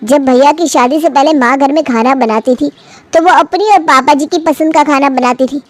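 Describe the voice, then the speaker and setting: A woman's voice: sits high, normal speed, close to an everyday voice. A woman voicing a frustrated young man, a younger brother who grumbles wearily, in a cartoon family story. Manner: grumbling, weary.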